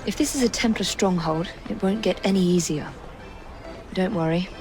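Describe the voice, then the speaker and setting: A woman's voice: fairly low pitch, moderate pace, darkish tone, faint music behind, lightly acted. A woman playing a determined, bookish young Victorian assassin in a video game, composed and formal. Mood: intent, eager. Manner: composed, formal, dry, assured. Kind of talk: video game cutscene acting.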